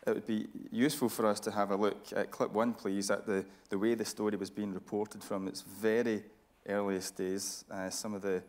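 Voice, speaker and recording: A man hosting an on-stage interview, phrasing his points in a halting, thoughtful way. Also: lowish voice, flat delivery, darkish tone. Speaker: interviewer and host.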